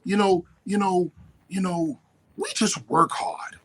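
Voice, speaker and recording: A man's voice: high voice, slow, breathy edge. A man speaking as a guest on a talk show, lecturing emphatically and mimicking other people. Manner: animated, emphatic, quotes definitions and mimics others.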